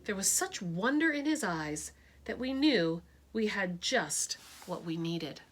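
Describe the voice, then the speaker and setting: A woman reading a picture book aloud with expressive delivery and her own asides. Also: mid-range voice, takes it slowly. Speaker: storybook reader.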